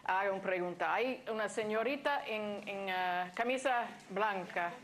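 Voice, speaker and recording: A woman moderating a question session in a halting, informal way. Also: mid-range voice, fairly bright tone. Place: university lecture hall.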